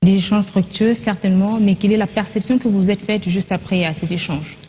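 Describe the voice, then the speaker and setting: Woman news anchor, mid-pitched, formal and measured, some hall reverb on the voice. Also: fairly even intonation, mellow, a little hiss or hum. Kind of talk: scripted news reading and studio interview.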